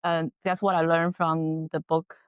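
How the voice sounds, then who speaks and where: A woman's voice: sits low, flat delivery, a little slow, smooth, no echo, lo-fi sound. A woman from China, a native Chinese speaker, hosting an interview and asking curious questions in English with small grammar slips. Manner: curious, warm laughs, occasional grammar slips. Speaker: podcast host, interviewer. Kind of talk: interview questions, casual chat.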